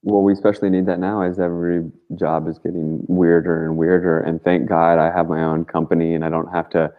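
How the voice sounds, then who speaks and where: A man with a very low voice speaks in a casual, rambling storytelling style. His delivery is earnest and emphatic, peppered with slang. The audio is somewhat dull and lo-fi, like a call-in show. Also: flat delivery, fairly quick. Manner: informal and slangy, with frequent "like" and mild swearing. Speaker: talk show guest, activist.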